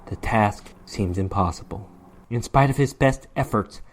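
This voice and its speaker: A man's voice: fairly low pitch, measured pace. A man narrating a horror short story as a dramatic reading, with theatrical, emphatic delivery.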